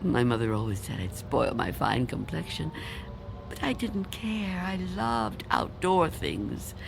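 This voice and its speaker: A woman's voice: extremely low pitch, very animated, a little slow, gravelly, background noise, heavily acted. An actress playing a frightened, reclusive old woman afraid of death in a radio drama, tremulous and pleading. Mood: wistful.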